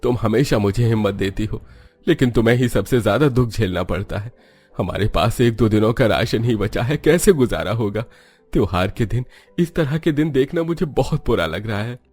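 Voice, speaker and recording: A man's voice: mid-range voice, animated, normal speed, darkish tone, husky, faint music behind. A man playing a poor, jobless father in a cartoon story, despondent and apologetic with his wife. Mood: sad, grateful. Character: poor, worried, jobless young father and husband.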